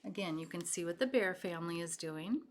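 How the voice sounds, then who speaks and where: A woman's voice: lowish voice, expressive, a little fast. A woman reading a picture book aloud to children, with chatty explanations and questions for the young listeners. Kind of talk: read-aloud storytelling with chatty asides.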